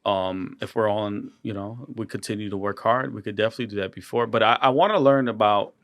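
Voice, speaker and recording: A man's voice: lowish voice, steady tone, fast, no echo, studio quality. A young man, a guest artist, talking earnestly in a casual interview, often restarting and repeating words. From Colombian and Ecuadorian heritage.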